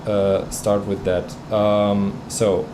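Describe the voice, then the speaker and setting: A man's voice: on the low side, level tone, very slow, noisy background. A man whose first language is Bosnian, hosting a music livestream in casual chat, hesitant and full of fillers and restarts. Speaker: livestream host reviewing music tracks.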